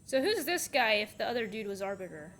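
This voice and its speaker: A woman's voice: mid-range voice, big pitch swings, fairly quick, a bit bright. A woman giving casual, off-the-cuff reaction commentary. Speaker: reaction video host.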